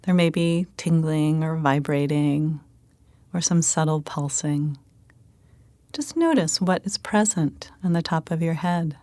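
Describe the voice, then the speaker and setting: Slow, soothing woman guiding meditation. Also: sits low, dry recording. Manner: calm, gentle, unhurried instruction with pauses. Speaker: meditation guide. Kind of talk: guided meditation narration.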